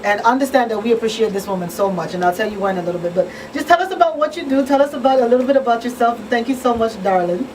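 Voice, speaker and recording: A woman's voice: a little high, lively intonation, racing, few pauses, a little mellow. A woman hosting a casual talk show in an informal, appreciative way. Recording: distant mic, clearly noisy background.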